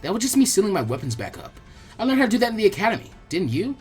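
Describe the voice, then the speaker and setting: A man puts on a young ninja girl for a storybook reading, raised in pitch and animated. She is friendly, frank and casually encouraging. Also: very fast, breathy edge, faint music behind, full character voice. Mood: puzzled. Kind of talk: fan fiction audiobook character voice.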